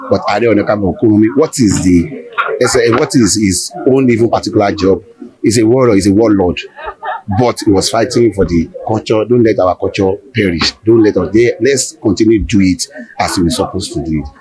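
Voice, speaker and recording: A man with a Nigerian accent speaks in a low voice, explaining earnestly and informally. He halts and repeats himself, with crowd chatter clearly behind him. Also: brisk, rough recording.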